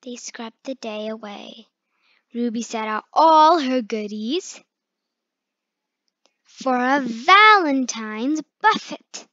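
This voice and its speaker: Slow, high-voiced woman reading a storybook. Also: expressive, pushed and bright. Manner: halting, stumbles over hard words. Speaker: read-aloud storyteller. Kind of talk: reading a children's picture book aloud. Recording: muffled, echoey room recording.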